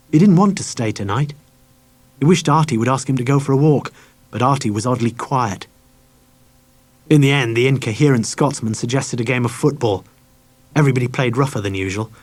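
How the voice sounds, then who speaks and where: A man narrating a children's novel as a scripted audiobook. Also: medium pitch.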